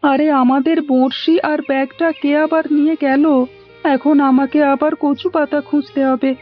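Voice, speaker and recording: A woman's voice: sits high, flat delivery, moderate pace, natural voice. A woman voicing an eager, obedient little village boy, a younger brother in a cartoon. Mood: puzzled. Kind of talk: cartoon voice acting. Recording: dull lo-fi audio with music.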